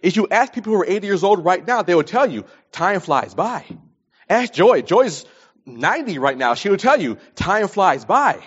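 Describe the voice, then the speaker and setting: A man's voice: high-pitched, big pitch swings, moderate pace, breathy edge. A man in his early forties preaching a sermon, speaking emphatically and repeating phrases to stress them. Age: early 40s. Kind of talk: sermon with scripture readings and plain advice. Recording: dull lo-fi recording.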